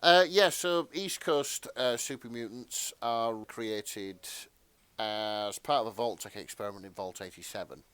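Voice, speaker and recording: Male co-host, fairly low pitch, informal, nerdy and keen conversational delivery. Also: unhurried, a bit bright, clear, resonant tone, no room sound. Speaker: podcast co-host. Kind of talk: casual chat.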